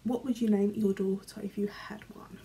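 A young British woman vlogging from her bedroom, reading questions aloud and answering in a chatty, rambling way, often trailing off quietly. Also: medium pitch, steady tone, moderate pace, a little hiss or hum. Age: young adult. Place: small bedroom, indoors. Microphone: camera in front, medium framing.

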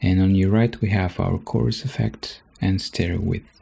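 Deep, creaky-voiced male tutorial narrator. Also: steady tone, normal speed, loud music behind the voice. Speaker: tutorial presenter. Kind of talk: scripted software tutorial narration.

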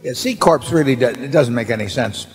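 A man's voice: mid-range voice, lively intonation, brisk, soft background music. Old guy at a table mic, folksy, trips over his words, big hall reverb. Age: older. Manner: rambling, repeats words, folksy. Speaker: company chairman answering questions. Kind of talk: unscripted answer at shareholder meeting. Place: large hall on stage at table.

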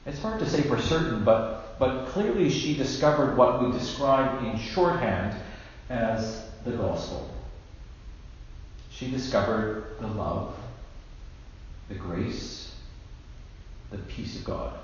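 Slow-paced man preaching in an echoey church. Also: medium pitch, expressive. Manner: measured, deliberate, with emphatic pauses. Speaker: bishop preaching. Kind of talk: sermon. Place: indoors in a church at a lectern. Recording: very echoey church, dull sound, faint noise.

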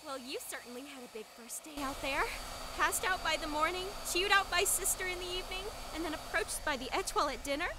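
Woman voicing sweet high-pitched anime schoolgirl. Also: brisk, noisy background, natural voice. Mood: teasing. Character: doting, teasing, girlish teenage schoolgirl roommate. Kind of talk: anime fan dub acting.

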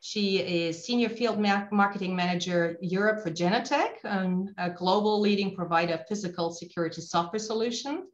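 A woman hosting a workshop, speaking in a hesitant way with filler pauses, introducing a colleague. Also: medium pitch. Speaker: PR agency workshop host. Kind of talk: webinar presentation. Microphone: webcam on a video call. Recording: roomy, dull video-call audio.

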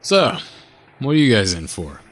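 A man's voice: medium pitch, big pitch swings, moderate pace, music in the background, close to an everyday voice. A man playing a wary, tough-talking inmate in an audio drama, blunt and sardonic. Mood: wary, casual. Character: wary, tough-talking foul-mouthed male new asylum inmate.

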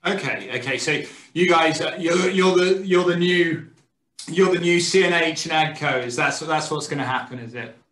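A man's voice: fairly high pitch, moderate pace, a bit bright, echoey room. A man in his thirties hosting a podcast interview over a video call, casual with many fillers. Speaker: podcast host. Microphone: webcam on video call.

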